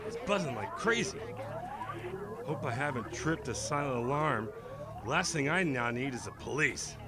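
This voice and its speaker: A man's voice: medium pitch, expressive, moderate pace, a loud crowd, lightly acted. A man playing a wisecracking young superhero in an audio drama, defiant, earnest and emphatic. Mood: wary. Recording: loud music bed behind voice.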